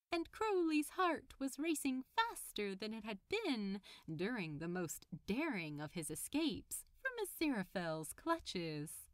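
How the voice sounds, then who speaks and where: A woman's voice: mid-range voice, a bit bright, no echo, studio quality. A woman reading scripted fiction aloud as an audiobook narrator.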